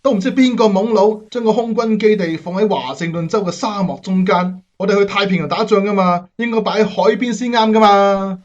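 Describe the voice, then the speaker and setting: A man's voice: high voice, fairly quick, few pauses, dull audio, close to an everyday voice. A man playing a griping, sardonic young airman in a Cantonese radio drama.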